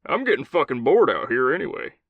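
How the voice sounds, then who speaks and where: A man voicing an older male commander, a gruff old cowboy with a thick Southern drawl who swears freely. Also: mid-range voice, sing-song, a little fast, no room sound, narrow, tinny audio, voice pushed up. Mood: gruff consoling.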